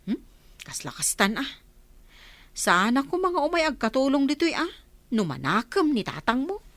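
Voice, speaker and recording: A woman playing a gentle, long-suffering village mother in a radio comedy-drama, humble, pleading and motherly. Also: high voice, big pitch swings, moderate pace, no echo. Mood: motherly, concerned.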